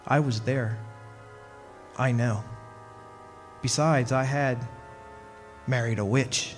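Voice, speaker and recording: A man's voice: mid-range voice, steady tone, lightly acted. Man acting a wry ageless husband, echoey hall. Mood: wry, reminiscing. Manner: wry, reminiscing, measured storytelling. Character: centuries-old henpecked husband of a witch, wry storyteller. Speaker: actor and narrator in a radio play. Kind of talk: dramatic first-person radio play narration. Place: stage performance in a community club hall. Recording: echoey hall, music behind.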